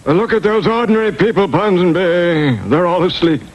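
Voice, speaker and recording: A blustering cartoon king with a notably high pitch. He is peevish, imperious and quick to give orders, in classic fairy-tale parody style. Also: animated, highs cut off. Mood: peevish, commanding.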